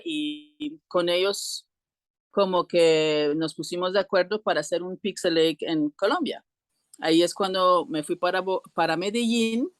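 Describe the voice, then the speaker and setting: A woman guest telling her story casually in an interview, pausing often to search for words. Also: on the low side, no echo, studio quality.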